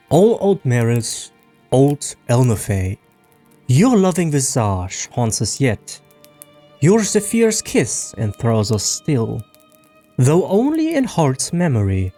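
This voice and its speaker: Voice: medium pitch, warm tone, exaggerated voice. A narrator reciting as a mournful elven bard, in a solemn, poetic manner.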